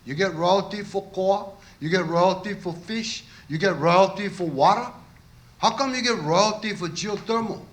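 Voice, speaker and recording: A man's voice: high voice, normal speed, a little hiss or hum. A man in his sixties with a local Hawaiian accent giving impassioned, confrontational public testimony, full of blunt rhetorical questions. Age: 60s. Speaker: activist testifying at a public meeting.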